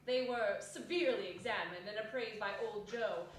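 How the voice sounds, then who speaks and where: A woman's voice: medium pitch, normal speed. A woman narrating a story as a theatrical dramatic reading. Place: indoor arts center hall, live performance. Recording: distant echoey hall, faint noise.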